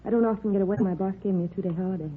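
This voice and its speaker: Woman acting as proper old radio office girl, talks fast, earnest, thin phone-like sound. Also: mid-range voice, close to an everyday voice. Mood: polite, evasive. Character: polite, evasive female office worker under police questioning. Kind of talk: 1950s radio drama acting. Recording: tinny, noisy vintage radio recording.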